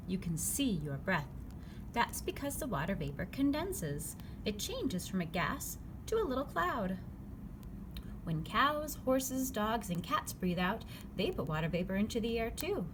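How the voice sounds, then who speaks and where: A woman reading a children's picture book aloud, phrasing it clearly and simply for young listeners. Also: medium pitch. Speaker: read-aloud narrator. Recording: clearly noisy background ambience.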